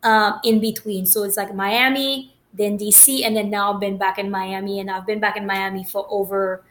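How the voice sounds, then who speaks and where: A woman's voice: medium pitch, flat delivery, normal speed, a bit bright, slight background noise. A woman from Haiti talking casually about her personal journey, rambling with many fillers and restarts.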